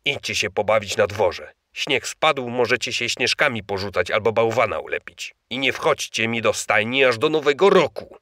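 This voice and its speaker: An actor playing a fussy, exasperated reindeer who scolds theatrically in a children's audio play. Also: male voice, mid-range voice, moderate pace, few pauses, bright, forceful tone, no echo, clean studio sound, close to an everyday voice. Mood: exasperated scolding.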